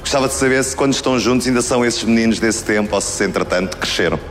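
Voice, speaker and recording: A man, a journalist asking a measured question. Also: mid-range voice, big-room sound, soft background music. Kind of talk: press conference question.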